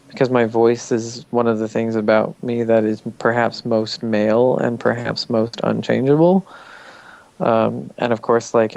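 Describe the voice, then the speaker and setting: A man with a nasal voice, speaking as a musician guest in a casual interview, open and reflective, often pausing with ums and restarts. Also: mid-range voice, vocal fry, a little mellow, smooth, no room sound, lo-fi sound. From Canada.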